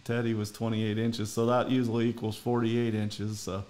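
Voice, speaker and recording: A man's voice: fairly low pitch, level tone, normal speed, a little mellow. A middle-aged man from Minnesota with a Minnesotan accent, hosting a podcast in casual, rambling talk from home over a video call. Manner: rambling, folksy, many fillers. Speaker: podcast host. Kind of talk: casual interview chat.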